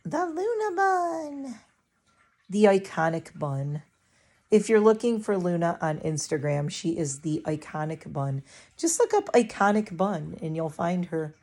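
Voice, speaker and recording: A middle-aged woman talks to her viewers from her living room in a loose, rambling way, often cutting herself off mid-sentence. Her voice sits low and gravelly with a dark, mellow tone. She sounds a little stuffy, and her throat is scratchy. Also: big pitch swings, a little slow. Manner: rambling, chatty, self-interrupting. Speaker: vlogger. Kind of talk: casual chat to camera.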